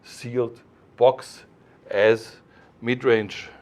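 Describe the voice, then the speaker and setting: A man with a mid-pitched voice talks through technical details like a designer presenting his own work. His delivery is informal but didactic, with halting phrases and firm emphasis on key points. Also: fairly even intonation, a little mellow. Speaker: loudspeaker designer explaining his products.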